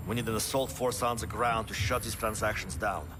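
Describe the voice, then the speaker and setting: An actor playing a firm, businesslike military commander giving a measured, authoritative game mission briefing. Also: male voice, lowish voice, fairly even intonation, music in the background, close to an everyday voice. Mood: firm, urgent.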